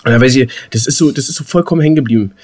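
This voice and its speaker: A man's voice: mid-range voice, rapid-fire, darkish tone. A man in his thirties from Berlin, co-hosting a podcast with playful, colloquial banter. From Berlin, Germany. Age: 30s. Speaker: podcast co-host. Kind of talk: casual banter chat.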